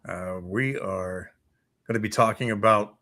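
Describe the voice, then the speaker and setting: A man's voice: fairly low pitch, measured pace, bright, forceful tone. A man co-hosting a morning talk show, chatting casually in an easygoing, friendly way.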